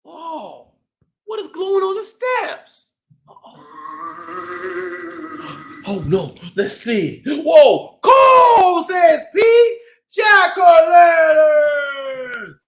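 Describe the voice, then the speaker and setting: A man's voice: extremely high pitch, very animated, very slow, pauses often, fairly bright tone, shouting. A man hosting a read-aloud for young children, playful and exclamatory with a sing-song delivery. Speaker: children's storytime host.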